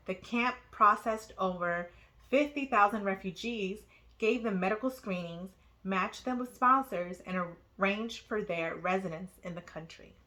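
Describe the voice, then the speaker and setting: Woman, read-aloud host, children's storybook narration, bright tone, mid pitch, expressive deliberate phrasing. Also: poor audio.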